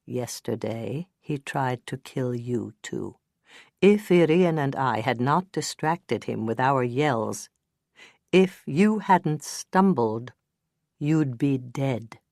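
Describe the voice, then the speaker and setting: A woman voicing a male detective who speaks slowly and quietly in a low, hypnotic voice. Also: animated, vocal fry, no room sound, studio quality, heavily acted. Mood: hushed.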